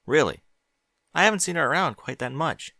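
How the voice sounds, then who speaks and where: A man's voice: fairly high pitch, animated, a little fast, gravelly, pushed and bright, dry recording, clean studio sound, pitched above the performer's own voice, full character voice. A man voicing a sensible young boy dragon who works in a library, matter-of-fact.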